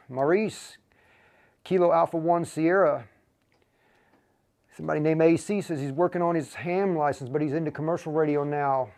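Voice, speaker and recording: An American man hosting a livestream in a casual, folksy, informal way, talking in a halting flow. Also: on the high side, darkish tone. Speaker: livestream host for a radio equipment seller.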